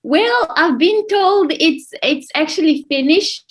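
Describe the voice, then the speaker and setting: A young adult woman from Namibia, a guest in a casual interview who explains things with pauses. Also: very high-pitched. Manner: relaxed, explains with pauses and laughs.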